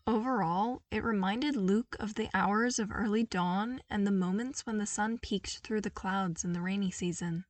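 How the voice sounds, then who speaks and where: A woman's voice: medium pitch, moderate pace, few pauses, no room sound. Woman narrating, dry and slightly distant. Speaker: podfic narrator. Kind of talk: scripted story narration.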